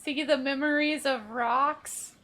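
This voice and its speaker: A woman's voice: sits high, steady tone, takes it slowly, fairly bright tone, a little hiss or hum. A woman hosting a casual gaming playthrough, jokily paraphrasing what the characters say. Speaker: gaming video host and player.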